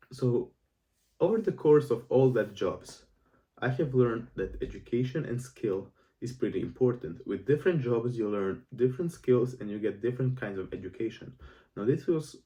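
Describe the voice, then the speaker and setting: A nineteen-year-old man with a Croatian accent, speaking English as a second language. His tone is mellow and relaxed, and he rambles earnestly with restarts and fillers. He sits a little away from the mic. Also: medium pitch, recorded from a distance, dull audio. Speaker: self-improvement vlogger. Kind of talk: casual monologue to camera.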